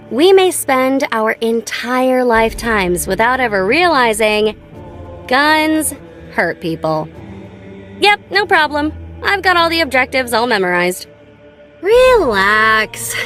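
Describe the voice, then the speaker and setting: A woman's voice: fairly high pitch, sing-song, slow, a bit bright, heavily acted. An American actress voicing a playful, nonchalant young female gun-tech tinkerer in a video game, with a light, girlish, teasing delivery. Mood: pensive, then cocky, playful. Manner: teasing, breezy, casual. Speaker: voice actor for a video game character. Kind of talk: scripted game character voice lines. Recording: studio voice over background game music. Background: background music.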